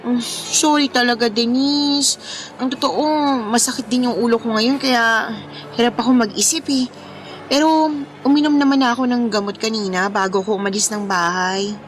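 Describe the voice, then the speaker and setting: Woman acting high-voiced, apologetic young woman. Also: normal speed, slight background noise, close to an everyday voice. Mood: apologetic, tired. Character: tired, apologetic, easygoing young woman in love. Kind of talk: Filipino radio drama acting.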